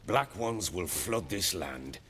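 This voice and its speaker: A slow, husky warlord. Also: male voice, lively intonation, a bit bright, exaggerated voice. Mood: grim. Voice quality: husky, low. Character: ex-soldier male warlord, self-proclaimed baron. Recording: long hall reverb.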